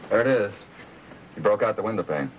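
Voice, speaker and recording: A man's voice: on the low side, expressive, a little fast, close to an everyday voice. An actor playing a methodical American police lab man, terse and matter-of-fact in 1950s drama style. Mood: businesslike. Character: brisk, methodical police crime lab technician, male. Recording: muffled, noisy 1950s TV soundtrack.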